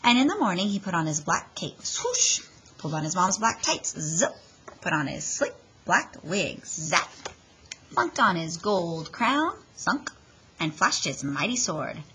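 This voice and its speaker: A woman reads a picture book aloud with big, lively swings in pitch. Her voice is slightly low and her pace is slow, giving the story a dramatic, expressive shape. A little background noise is audible. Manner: dramatic, with emphasised sound words. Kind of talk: expressive children's story reading.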